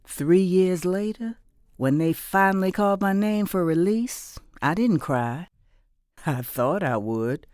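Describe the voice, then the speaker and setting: An actress playing a reflective, weary but resilient former prisoner and mother in middle age, delivering an intimate, deliberate audio drama monologue. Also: low voice, mellow, no echo, studio quality. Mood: hopeful, resolute.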